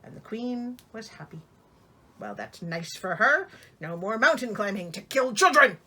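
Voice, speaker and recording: A woman with a bright, fairly high voice reads a fairy tale aloud. Her pitch swings freely as she shifts between theatrical storytelling and dry, sardonic asides. She comes across as an exasperated, comic narrator. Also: very animated, measured pace. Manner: sarcastic, theatrical, exasperated commentary. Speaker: storyteller, host of a reading show.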